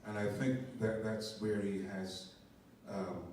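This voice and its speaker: An older man answers slowly and thoughtfully, stumbling and repeating his words. His voice is low and warm. It sounds distant, caught in a big echoing theater with a faint hiss. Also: steady tone. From Guyana. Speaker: stage actor on a talkback panel. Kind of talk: post-show panel answer. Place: theater stage. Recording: echoey hall from far off.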